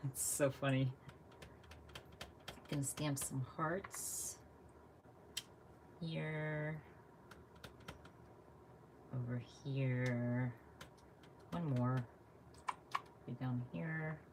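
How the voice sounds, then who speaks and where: Low-voiced chatty American woman crafting. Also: steady tone, drawn-out words, long pauses, background noise. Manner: chatty, thinks aloud while working, laughs often. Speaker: craft vlogger. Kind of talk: casual live craft chat.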